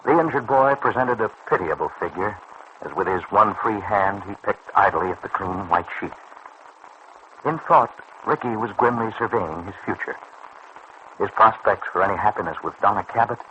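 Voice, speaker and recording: Solemn, low-voiced male vintage radio narrator. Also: animated, sharp tone. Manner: formal, solemn, deliberate. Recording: dull, noisy old radio recording.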